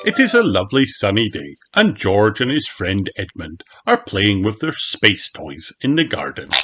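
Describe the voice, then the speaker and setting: A man reading a children's storybook aloud in an expressive narrating style. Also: lowish voice, moderate pace, soft background music. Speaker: audiobook reader of children's books. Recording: clearly noisy recording with clicks.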